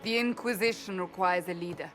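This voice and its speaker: A woman's voice: on the low side, brisk, a bit bright, faint music behind, lightly acted. A woman playing a stern, devout military leader in a fantasy video game, speaking earnestly and formally with resolve. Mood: earnest conviction. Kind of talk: dramatic video game dialogue.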